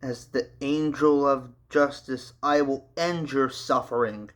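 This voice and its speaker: A man's voice: medium pitch, steady tone, slow, fairly bright tone. A man voicing a commanding female angel of justice who wields a sword. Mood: stern.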